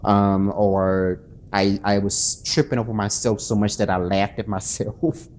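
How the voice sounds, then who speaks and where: A man's voice: lowish voice, little pitch movement, a little mellow, a little hiss or hum. A man talking casually to his viewers late at night, nervous and sleepless, stumbling over restarts and fillers. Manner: halting, many restarts and fillers; nervous, self-conscious. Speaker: author and channel host. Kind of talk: casual talk to camera.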